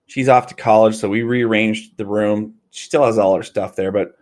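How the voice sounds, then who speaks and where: A man's voice: on the low side, steady tone, moderate pace, darkish tone. A man in his forties co-hosting a podcast, chatting casually, rambling and correcting himself, laughing easily. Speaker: podcast co-host.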